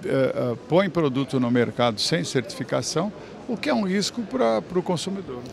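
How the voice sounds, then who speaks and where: A man's voice: on the high side, animated, moderate pace, vocal fry. A man in his fifties with a Brazilian Portuguese accent, hosting on-site interviews at a trade fair and praising products with enthusiasm, speaking into a handheld mic in a large exhibition hall. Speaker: trade show TV host.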